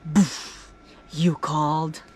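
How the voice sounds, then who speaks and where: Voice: mid-range voice, flat delivery, extremely slow, slight background noise. A streamer giving casual reaction commentary while playing a video game. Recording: loud game music behind. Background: video game music and dialogue.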